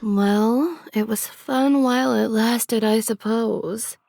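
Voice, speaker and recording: A woman playing a shameless, seductive vampire socialite, sly and amused, in a dark comedy audio drama. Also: fairly high pitch, takes it slowly, creaky, no echo, clean studio sound.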